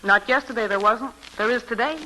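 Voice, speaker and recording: An actress playing a brisk, wisecracking diner waitress in 1940s radio style, snappy and matter-of-fact. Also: female voice, on the high side, noisy background, lightly acted. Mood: brisk, wry.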